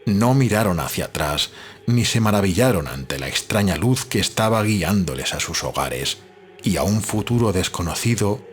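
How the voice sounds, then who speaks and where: A man reads literary narration in a measured, dramatic storytelling manner. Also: sits low, animated. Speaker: audiobook narrator. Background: background music.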